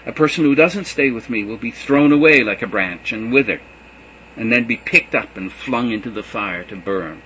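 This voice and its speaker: A man reading as Jesus, earnest and steady. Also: medium pitch, moderate pace, slight background noise, natural voice, low-quality recording. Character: Jesus, a gentle male teacher farewelling his students. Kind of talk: reading a gospel aloud.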